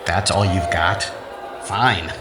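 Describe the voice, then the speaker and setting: A man's voice: sits low, takes it slowly. Man acting a sardonic scientist, echoey room. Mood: impatient. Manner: dry, sarcastic. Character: sardonic, defiant male scientist, reluctant newcomer. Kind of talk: scripted audio drama acting. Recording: echoey room with faint noise.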